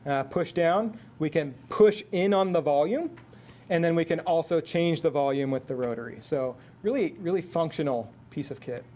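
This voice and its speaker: Guy doing a product demo talk, voice a bit on the high side, explains stuff conversationally. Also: normal speed, darkish tone. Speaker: product presenter for an intercom manufacturer. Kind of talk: product seminar presentation.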